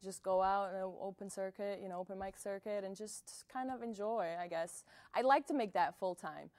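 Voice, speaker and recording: A young woman from Bosnia, a singer-songwriter guest answering interview questions in a soft, hesitant way with many fillers, in a small music venue. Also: mid-range voice, normal speed, dry recording.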